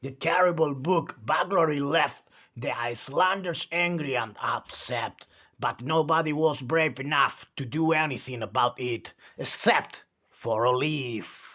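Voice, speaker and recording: A man reading a children's picture book aloud, with theatrical delivery and drawn-out emphasis on key words. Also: on the high side, sharp tone. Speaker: children's storytime reader.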